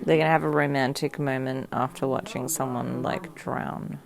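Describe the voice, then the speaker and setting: A woman's voice: rumbling low, fairly even intonation, normal speed. A woman bantering casually as she reacts to a film. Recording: clear music behind the voice. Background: music.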